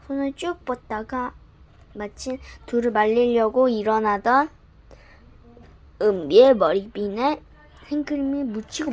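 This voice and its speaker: A young woman gently reading a bedtime story aloud, often dropping close to a whisper. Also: on the high side. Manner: gentle, soft-spoken, often near a whisper.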